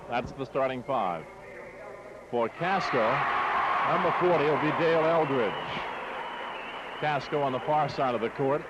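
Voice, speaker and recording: A man's voice: mid-range voice, highs cut off. Male sportscaster on noisy 1960s radio. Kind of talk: live basketball play-by-play commentary. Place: courtside in an exposition hall.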